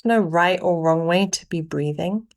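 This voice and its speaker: A woman leading a guided meditation, giving gentle instructions in short phrases with pauses between them. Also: lowish voice.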